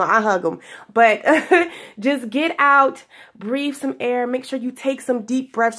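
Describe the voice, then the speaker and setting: Young female spiritual vlogger, a bit high, stuffy and congested, giggly and affectionate, slow and drawn out. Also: fairly bright tone. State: stuffy from sinus trouble. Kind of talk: casual spiritual talk to viewers. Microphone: camera in front of her.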